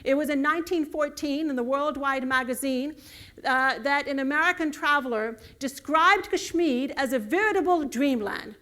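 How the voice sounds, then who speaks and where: A woman's voice: sits high, moderate pace, a bit bright. A woman whose first language is Urdu, giving an earnest lecture with slides and building emphasis on key words. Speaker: guest lecturer.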